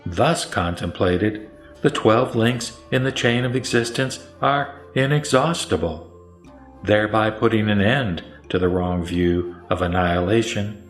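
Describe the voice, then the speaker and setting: A man reciting scripture as a scripted narration, with measured, solemn phrasing. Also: lowish voice. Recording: roomy echo, music underneath. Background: background music.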